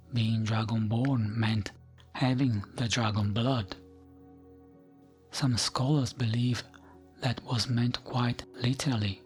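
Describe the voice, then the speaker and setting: A man narrates fantasy lore in a soothing, gentle ASMR style. His delivery is very unhurried, with pauses between short phrases, at an average male pitch. The voice is soft and edges toward a breathy whisper, with faint music playing behind it. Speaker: narrator of gaming lore videos. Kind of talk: ASMR bedtime reading of game lore, scripted narration. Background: background music.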